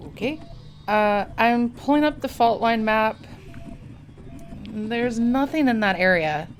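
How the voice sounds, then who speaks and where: An actress playing a casual, level-headed scientist in an audio drama, with an offhand, dry way of talking. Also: female voice, on the high side, lively intonation, takes it slowly, faint music behind. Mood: focused, puzzled.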